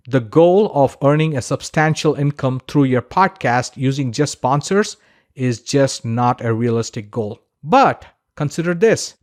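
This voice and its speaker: A man narrating a scripted online course as its instructor, in a conversational, emphatic, instructional way. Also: a little high.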